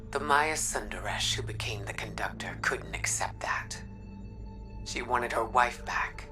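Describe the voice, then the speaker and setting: A weary, grieving female mentor from a video game. Her voice is very low and hushed, almost whispering. She speaks slowly and introspectively over clear background music. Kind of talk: scripted video game monologue. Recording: music under the voice.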